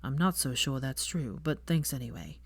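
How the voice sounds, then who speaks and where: Casting: sardonic young wizard for an audio story, low drawl, mocking and teasing, close dry sound female reader acting the man. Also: lively intonation, moderate pace, breathy edge, dry recording, heavily acted. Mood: wry, resigned.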